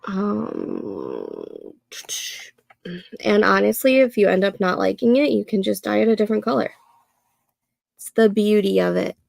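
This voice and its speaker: A congested woman in her thirties chats unhurriedly while she works on her planner. Her voice has a touch of fry and sounds a little nasal, close and dry on the mic. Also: medium pitch, wildly swooping pitch, a little mellow, dry recording. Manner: slow, chatty, talks to herself while setting up a planner. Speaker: co-working livestream host. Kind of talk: casual chat while working.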